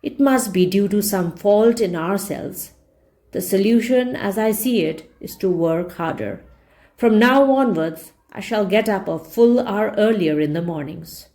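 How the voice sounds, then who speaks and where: A woman's voice: mid-range voice, a little slow, dark tone, natural voice. A woman voicing a loyal, hard-working male farm horse in a storybook, earnest and resigned.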